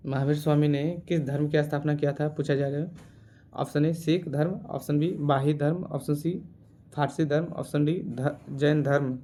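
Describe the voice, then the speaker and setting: A man teaching through exam quiz questions, reading options and repeating answers deliberately. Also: a little high, steady tone, mellow, a little hiss or hum.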